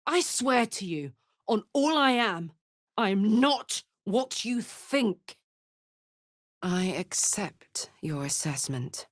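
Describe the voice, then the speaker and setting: An actress playing a brash, earthy, big-hearted woman warrior in a video game, blunt and informal, quick to swear. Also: mid-range voice, very animated, dry recording. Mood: tender, earnest. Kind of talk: video game character voice acting. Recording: dry close studio recording.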